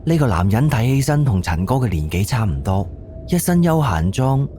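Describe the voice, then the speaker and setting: A man's voice: medium pitch, very animated, moderate pace, dark tone, faint music behind. A man with a Cantonese accent narrating a scripted audio drama as its storyteller.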